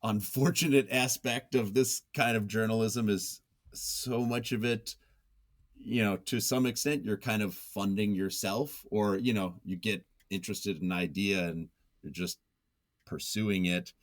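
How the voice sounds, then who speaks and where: A man's voice: fairly low pitch, steady tone, unhurried. A man being interviewed as a writer, speaking in a thoughtful, hesitant, self-effacing way in reflective conversation. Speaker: interview guest, nonfiction writer.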